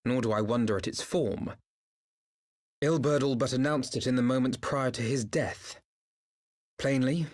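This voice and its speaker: A learned, courteous man as acted in a game with a medium-pitched voice. He speaks formally and eloquently in archaic turns of phrase, gravely concerned for his comrades. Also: lightly acted. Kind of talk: dramatic video game cutscene acting.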